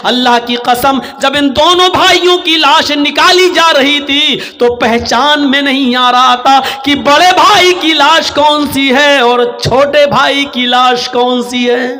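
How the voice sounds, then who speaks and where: Very high-pitched, piercing male preacher. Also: steady tone, slow, pushed and bright. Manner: declamatory, dramatic storytelling, acting out dialogue. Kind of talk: impassioned religious sermon told as a cautionary story.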